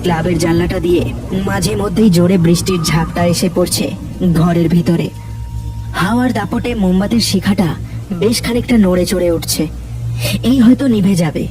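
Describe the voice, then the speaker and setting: Storyteller for an animated ghost tale, mid-pitched, recorded a little distant with prominent music. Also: normal speed. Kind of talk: scripted storytelling narration.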